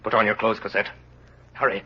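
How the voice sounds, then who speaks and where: An actor playing a tender, anxious father on the run in an old radio drama, speaking in a hushed, grave, urgent way. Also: lowish voice, little pitch movement, fairly quick, husky. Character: tender, anxious fugitive father protecting his little girl. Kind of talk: 1930s radio drama acting. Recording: dull lo-fi old radio recording.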